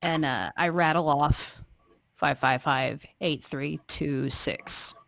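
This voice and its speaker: A woman's voice: deep voice, slow pace, creaky, a bit bright, no room sound. A woman playing a tabletop game, roleplaying casually in character.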